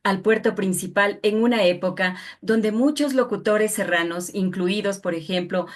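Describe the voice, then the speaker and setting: A woman's voice: mid-range voice, moderate pace. A woman with an Ecuadorian accent conducting an interview in a formal, respectful manner. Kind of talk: radio interview.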